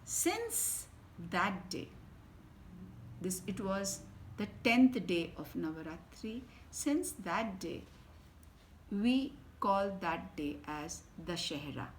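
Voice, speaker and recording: A woman with an Indian English accent reading a story aloud to children, swinging from loud emphasis to soft whispers. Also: medium pitch, very animated, slow, slight background noise. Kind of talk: expressive bedtime story reading.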